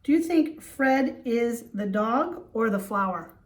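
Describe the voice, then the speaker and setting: Slow-paced woman teacher reading a storybook. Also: a little high, expressive. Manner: simple explanatory teaching, pausing to ask and answer questions. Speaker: schoolteacher reading to class. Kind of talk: children's storybook read-aloud with questions. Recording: roomy echo, distant microphone.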